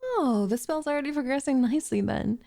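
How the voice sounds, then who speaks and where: A woman playing a possessive, lovestruck witch in an ASMR roleplay, coaxing and condescending in a soft voice that slips into whispers. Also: high voice, animated, a little fast, gravelly. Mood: possessive, smug.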